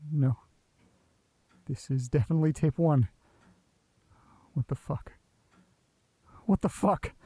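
An anxious, rattled fellow in an audio drama. His voice sits a little high but is mellow, recorded close and dry. He rambles hesitantly and sounds in need of someone. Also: slow, long pauses, husky, dry recording. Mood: alarmed, frustrated. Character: anxious, sweary male tape listener, needy and rattled. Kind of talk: naturalistic audio drama acting.

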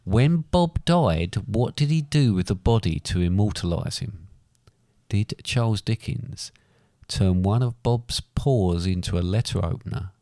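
A man hosting a casual podcast quiz, reading questions out slowly and deliberately while losing his voice and clearing his throat. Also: lowish voice, expressive, warm tone, no echo, studio quality. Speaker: podcast co-host running a quiz.

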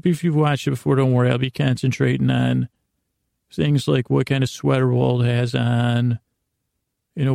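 A man with a deeply fried, gravelly voice and a relaxed, muted tone, recorded dry and close. He hosts a bedtime podcast, meandering along with halting starts, repeated words and loose tangents. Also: dry recording. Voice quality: gravelly, creaky. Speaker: podcast host. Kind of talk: rambling bedtime monologue for sleepless listeners.

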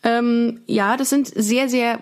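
A woman's voice: on the high side, steady tone, slow pace. A woman hosting a podcast, chatting casually in a joking, tongue-in-cheek way. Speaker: podcast host. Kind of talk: casual comedic podcast chat.

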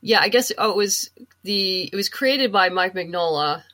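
A woman talking casually as an interview guest, chatty and a little halting as she gathers her thoughts. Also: medium pitch, animated, bright, forceful tone. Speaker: guest, comic book writer and novelist. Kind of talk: casual interview chat, giving reading recommendations.

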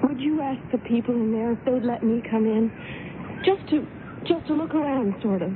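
An actress playing a gentle, timid, polite young woman in a wistful radio drama role. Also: a little high, moderate pace, natural voice. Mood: timid pleading. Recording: tinny, noisy old radio broadcast recording.

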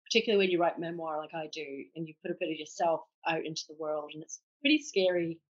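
A woman's voice: sits low, fast, breathy edge, dull audio. A Scottish woman in her forties, an author telling her story candidly and reflectively in a podcast interview. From Scotland. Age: 40s. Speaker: author guest.

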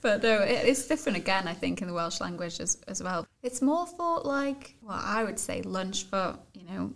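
Gravelly-voiced enthusiastic podcast host woman. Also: mid-range voice, animated, moderate pace. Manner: enthusiastic, encouraging interviewer. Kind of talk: casual podcast chat.

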